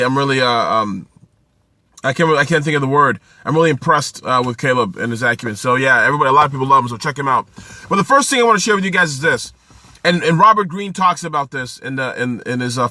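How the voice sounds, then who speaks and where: A man's voice: mid-range voice, bright, forceful tone, dry recording. A man from Canada in his thirties, hosting a casual live stream outdoors, greeting viewers by name and giving upbeat business advice. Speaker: live-stream host, accountant and author. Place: outdoors in the sun.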